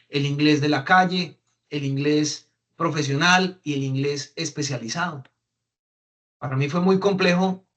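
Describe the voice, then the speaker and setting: A young Colombian man with a Colombian accent and a voice set somewhat high for a man. He talks casually to a group, filling gaps with "eh" and restarting his phrases as he thinks. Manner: conversational, many fillers and restarts, mimics English phrases. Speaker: guest speaker on migration. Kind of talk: casual talk to an audience.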